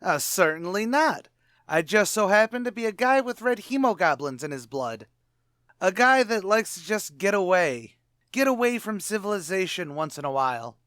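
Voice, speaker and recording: A man's voice: sits high, big pitch swings, normal speed, fairly bright tone, no echo, clean studio sound. A man playing a brash, slangy, bluffing tavern manager who wisecracks in an old-time radio comedy. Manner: wisecracking, mock-grandiose.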